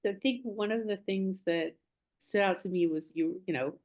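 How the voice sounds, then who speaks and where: A woman's voice: medium pitch, moderate pace, a little mellow. A woman interviewer asking reflective, searching questions in a conversational way.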